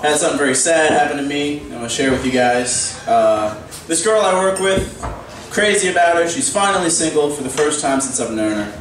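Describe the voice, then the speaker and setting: A man doing stand-up comedy on a pub stage, deadpan and halting with frequent "uh"s. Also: on the high side, a little hiss or hum. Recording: echoey pub, distant from the mic.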